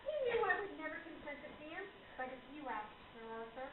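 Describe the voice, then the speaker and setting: A woman's voice: high voice, lively intonation, brisk. A woman playing a wily, indignant spirit medium in a radio play, with a dramatic, declamatory delivery. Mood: sly accusing. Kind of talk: stage radio play acting. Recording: echoey, noisy, tinny, far from mic.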